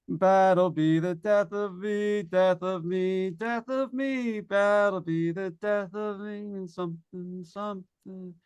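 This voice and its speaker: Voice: mid-range voice, lightly acted. An actor in an old-time radio suspense play, playing a jittery, stammering broadcaster who talks to listeners at home in a folksy way and is coming unraveled. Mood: dazed, unraveling.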